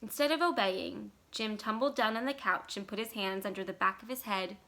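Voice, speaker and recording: A woman reading a short story aloud as scripted narration, with expressive, deliberate and dramatic phrasing. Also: medium pitch. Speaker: library staff storyteller.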